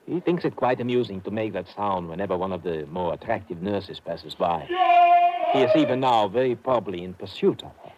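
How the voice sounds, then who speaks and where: An actor playing an anxious, conspiratorial sanatorium doctor, hesitant and questioning, in a 1950s radio drama. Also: male voice, sits low, animated, fairly quick, highs cut off. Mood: uneasy. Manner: hesitant, ends phrases with questioning "eh". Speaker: supporting actor. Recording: noisy old radio broadcast.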